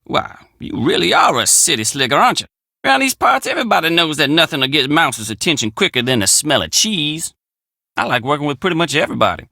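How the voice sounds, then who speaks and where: A man's voice: a little high, sing-song, fast talker, vocal fry, sharp tone, no echo, studio quality, heavily acted. An actor playing a friendly, plainspoken young country handyman with an American drawl in a video game. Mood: upbeat, eager. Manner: folksy, plainspoken country phrasing. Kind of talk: video game character dialogue.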